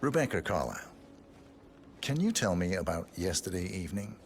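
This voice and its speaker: An actor playing a measured, questioning detective over game music. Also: male voice, low voice, sing-song. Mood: calm. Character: calm probing detective. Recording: game audio with music behind.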